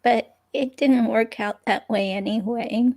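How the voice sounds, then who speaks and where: A woman's voice: on the high side, fairly even intonation, measured pace, darkish tone. A woman reading the part of a hurt ex-girlfriend in a police interview, hesitant and rambling, in a conversational dramatic reading. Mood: wistful, sheepish. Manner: hesitant, rambling, filler-laden.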